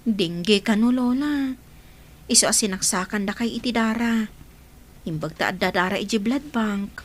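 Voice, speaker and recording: A young woman playing a gentle, dutiful granddaughter in an audio drama, with an Ilocano Filipino accent. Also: mid-range voice, moderate pace, lightly acted. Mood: gentle, reassuring.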